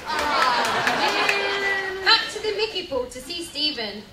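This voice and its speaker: A woman's voice: very high-pitched, lively intonation, slow pace. A woman narrating a comic live amateur radio play from a script, playfully reading out the sound cues. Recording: distant, echoey, loud crowd noise.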